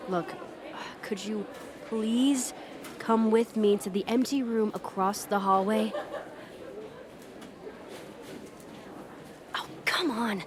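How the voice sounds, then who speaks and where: A woman playing a bossy, easily flustered teenage popular bully in an audio roleplay, exasperated and pushy, halting when embarrassed. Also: mid-range voice, a crowd in the background, lightly acted. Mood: pleading, exasperated. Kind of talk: acted ASMR roleplay monologue to the listener.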